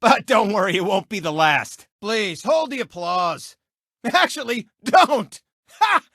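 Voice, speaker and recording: An actor voicing a boastful, smug race car who hosts a racing TV show in a video game, with a cocky, sneering, showboating delivery. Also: male voice, sits high, lively intonation, a little slow, pushed and bright, dry recording, clean studio sound, full character voice. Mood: self-adoring. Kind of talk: animated sportscaster commentary for a video game.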